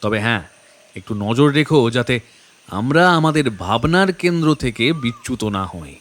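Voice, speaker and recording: An actor playing a detective's skeptical, impatient elder companion in an audio drama, remonstrating pointedly. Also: male voice, medium pitch, expressive, a little slow, natural voice. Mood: grudging.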